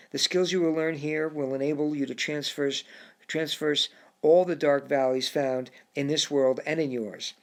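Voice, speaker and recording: A formal, unhurried male frog with an English accent, put on by a man reading a storybook. He speaks in a higher, brighter register than the narrator, patient and courteous, and keeps saying sir. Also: lightly acted. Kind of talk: audiobook storytelling.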